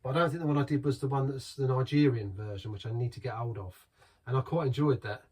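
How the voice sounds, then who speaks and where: A man's voice: mid-range voice, fast talker, a little mellow, breathy edge. A middle-aged man chatting casually through a beer review, rambling and restarting as he talks. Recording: voice from some distance from the mic.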